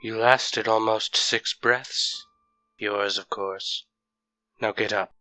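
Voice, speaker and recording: Aloof immortal mentor played by an actor: low, very even, condescending and measured, for fantasy audio drama. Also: male voice, no echo, faint music behind, low-quality recording. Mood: dismissive. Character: ancient male planeswalker mentor, bored, aloof, condescending.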